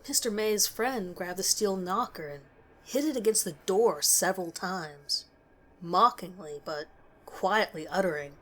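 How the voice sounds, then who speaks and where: A woman reading a scripted scary story as narrator, in a measured, dramatic way with pauses. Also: mid-range voice.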